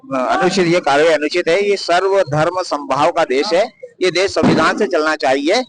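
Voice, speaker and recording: A man's voice: on the high side, moderate pace, a little hiss or hum, poor audio. A man giving firm, emphatic opinions in answer to an interviewer. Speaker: member of the public interviewed.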